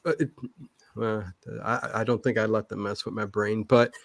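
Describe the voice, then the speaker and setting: A man's voice: lowish voice, little pitch movement, moderate pace, creaky, no room sound. A middle-aged man chatting casually on a livestream as a show host, talking in a rambling, self-interrupting way.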